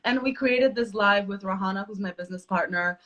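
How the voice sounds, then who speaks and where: A woman's voice: medium pitch, a little fast, a bit bright. A woman hosting a casual live video interview from New York over a video call, chatty and laughing often, with many fillers. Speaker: podcast host.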